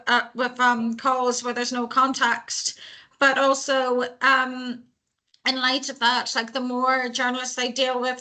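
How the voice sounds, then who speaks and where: A young woman giving a talk, thoughtful, with many pauses and ums. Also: high voice, bright, forceful tone, low-quality recording. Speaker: speaker on hiring deaf employees. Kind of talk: talk at a panel event.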